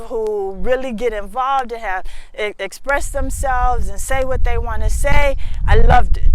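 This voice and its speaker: An American woman from Houston, Texas, hosting her own talk show, telling personal stories in an emphatic, expressive, informal way and repeating phrases for effect. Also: a little high, slight background noise, low-quality recording. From Houston, Texas, United States. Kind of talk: informal opinionated personal storytelling to viewers.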